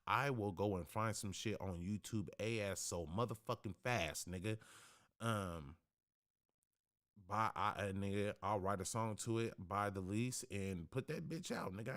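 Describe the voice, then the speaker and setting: A man with a deep, bassy voice talks straight into a studio microphone in his home studio. His speech is blunt, streetwise and full of cursing and slang, with a low-pitched voice. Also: moderate pace, fairly bright tone. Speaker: livestream host. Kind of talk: casual livestream talk. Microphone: studio podcast microphone.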